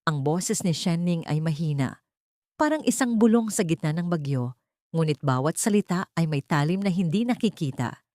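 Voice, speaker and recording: A woman's voice: sits low, expressive, normal speed, dark tone, dry recording, studio quality. A woman narrating a scripted emotional story as a dramatic storyteller.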